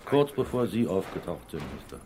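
A man's voice: low-pitched, very animated, brisk, darkish tone. An actor playing a stolid, proud older theatre doorman in a German crime radio play, with a hesitant, folksy, emphatic delivery. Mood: proud, plodding.

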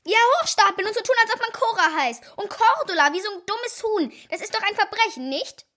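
Helles, trotziges junges Mädchen. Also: female voice, extremely high pitch, lively intonation, a little fast, pushed and bright, exaggerated voice. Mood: indignant. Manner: insistent, contrary. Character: stubborn, cheeky young girl, jealous daughter. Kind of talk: children's radio play acting.

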